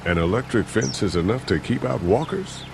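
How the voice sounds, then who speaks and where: An actor playing a steady, earnest survivor in a video game, speaking in a measured, protective way. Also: male voice, fairly low pitch, vocal fry, background noise. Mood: businesslike.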